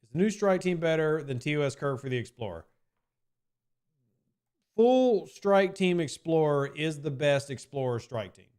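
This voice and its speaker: A man's voice: a little high, lively intonation, moderate pace, darkish tone, smooth. A man streaming live and answering chat questions in a casual, rambling way, often hedging with "I mean" and repeating his points.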